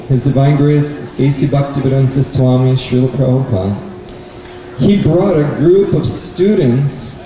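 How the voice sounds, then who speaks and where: A man presenting a children's puppet show, telling a story deliberately to a live audience and putting questions to the kids. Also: medium pitch, warm tone. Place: indoor bookstore event, live. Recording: echoey room, distant mic, faint noise.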